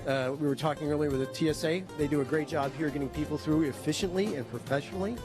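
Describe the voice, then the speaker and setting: A man's voice: a little high, fast, music in the background. Man giving a casual congratulatory speech. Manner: casual, with many "uh" fillers. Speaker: guest speaker presenting an award.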